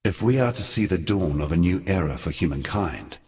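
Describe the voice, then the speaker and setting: An actor voicing an ancient, weary and scornful god, delivering grave, formal storytelling for a video game in a measured, theatrical way. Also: male voice, low voice, lively intonation, darkish tone. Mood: grave, brooding. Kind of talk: scripted dramatic video game narration.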